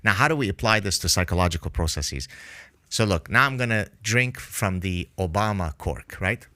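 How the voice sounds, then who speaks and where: A man's voice: low voice, expressive, normal speed, sharp tone, dry recording. A man whose first language is Arabic, a podcast guest explaining ideas emphatically with vivid analogies. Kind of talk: podcast discussion.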